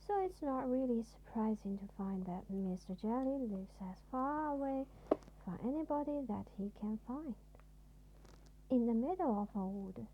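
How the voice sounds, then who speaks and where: Female bedtime-story narrator, parental role: higher voice, deliberate phrasing, lo-fi recording with some noise. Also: lively intonation.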